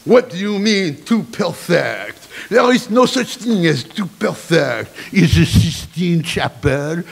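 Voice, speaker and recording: A man's voice: on the high side, sing-song, slow, creaky, husky, heavily acted. A man voicing a pompous, stern headmaster, sly and incredulous, in a told story.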